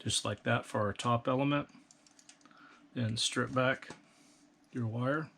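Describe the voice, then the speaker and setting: A man calmly explaining a hands-on build step by step, in a practical, methodical way. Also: mid-range voice, slow pace, vocal fry, a little hiss or hum. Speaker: instructor. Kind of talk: step-by-step how-to instruction.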